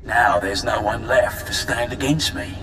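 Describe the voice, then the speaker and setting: A man's voice: medium pitch, fairly even intonation, a little slow, background noise, close to an everyday voice. An actor playing a smug, taunting male crime boss villain in a game. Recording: roomy, distant game audio with score.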